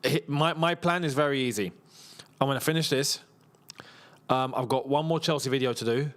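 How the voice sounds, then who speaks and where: A man's voice: medium pitch, normal speed, a bit bright. A tired man, chatting casually as the host of a football watchalong, rambling, laughing often and reading out viewer messages. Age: 30s. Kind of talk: casual live watchalong chat.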